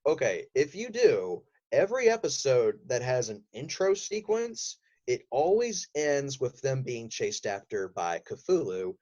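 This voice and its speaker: A man's voice: mid-range voice, wildly swooping pitch, a little slow, hardly any pauses, a little mellow, muffled recording. A man speaking as a podcast guest, telling detailed, enthusiastic stories in casual interview conversation. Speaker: podcast guest, voice actor and audio drama writer.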